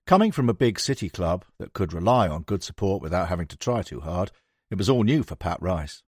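A man's voice: low-pitched, very animated, fairly quick, a little mellow, slightly rough, no room sound, clean studio sound. A man reading a sports history book aloud as a scripted narration. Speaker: audiobook narrator.

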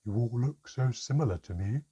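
A man voicing a tiny, proud female mermaid with a deep, strong voice and a sarcastic, sneering, bitter manner. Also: expressive, moderate pace, warm tone, slightly rough, muffled recording. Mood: haughty, dismissive.

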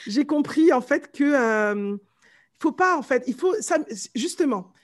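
Lively woman with a light Martinican accent. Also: sits high, measured pace. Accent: light Martinican French. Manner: animated storyteller, mimics voices and sounds. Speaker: vocal coach guest. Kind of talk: casual interview chat.

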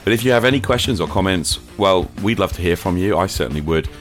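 A man's voice: sits low, animated, fast, faint music behind. A man hosting a podcast, asking short questions and closing the show with brief, encouraging words. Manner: brief, encouraging listener backchannel. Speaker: podcast host. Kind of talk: casual interview and show outro.

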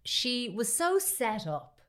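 A woman's voice: a little high, slow. An Irish woman co-hosting a podcast in casual comedic banter, telling stories in an irreverent, sweary way. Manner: irreverent, sweary, animated storytelling. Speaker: podcast co-host.

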